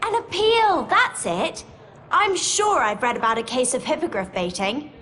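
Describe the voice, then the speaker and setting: A woman's voice: high voice, sing-song, a bit bright, exaggerated voice. An earnest bookish schoolgirl witch. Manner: eager, precise, quick to suggest a plan. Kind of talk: video game cutscene voice acting.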